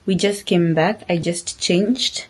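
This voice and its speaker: A woman's voice: fairly low pitch, takes it slowly, darkish tone, husky. A woman chatting casually and earnestly to her viewers as a vlogger, in an informal way. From Kenya. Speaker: vlogger, host.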